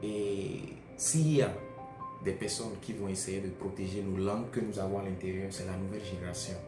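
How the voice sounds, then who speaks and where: A young male student with a fairly low voice speaks rhetorically, asking himself questions and then answering them. He is recorded in an echoey room, with music clearly audible. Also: steady tone, brisk.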